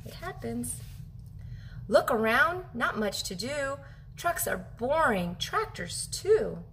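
Animated woman reading a picture book aloud. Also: fairly high pitch, a little hiss or hum, rough recording. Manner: animated, drawn-out phrasing with questions to young listeners. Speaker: teacher reading aloud to children. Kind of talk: expressive children's picture book read-aloud. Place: home kitchen, indoors.

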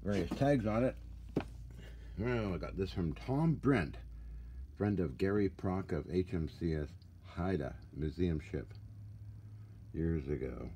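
A man with a low, mellow voice shows equipment as a restorer would, casually and off the cuff. He talks haltingly, muttering folksy asides. The handheld workshop recording carries some faint noise. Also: expressive. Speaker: radio restorer. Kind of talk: casual hands-on equipment walkthrough. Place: indoor cluttered workshop. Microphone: handheld camera or phone, behind the lens. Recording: noisy handheld recording with room ambience.

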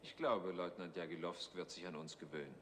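Man playing a dry, low-voiced space major. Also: steady tone, a little fast, long reverb tail, a little hiss or hum, natural voice. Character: dry, self-assured male spaceship major. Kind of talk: 1960s TV drama acting.

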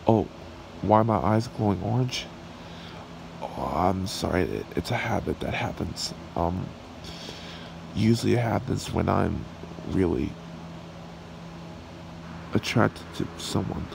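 A man playing a smitten, shy red dragon boyfriend in an ASMR roleplay, speaking in a halting, tender way. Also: deep voice, creaky, exaggerated voice. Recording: steady background hiss or room hum.

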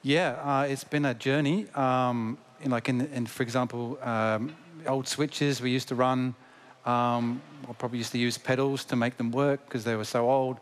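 A man's voice: medium pitch, steady tone, moderate pace. A man in his fifties, a guest on a conference stage panel, speaking steadily with many ums through a headset mic. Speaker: company tech executive guest. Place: large conference stage before an audience.

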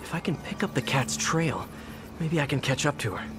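Young American masked hero: caring, wry, slightly high voice, video game cutscene with music. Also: male voice, very animated, normal speed. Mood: puzzled, focused. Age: young adult.

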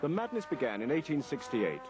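Male documentary narrator, animated intonation, scripted travel read with music bed. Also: medium pitch, fairly quick, poor audio. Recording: voice-over with music behind.

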